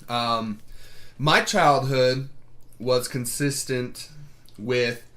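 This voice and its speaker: A man's voice: medium pitch, very slow, fairly bright tone. A young man co-hosting a podcast, rambling through stories with laughs and casual swearing. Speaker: podcast co-host. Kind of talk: casual chat.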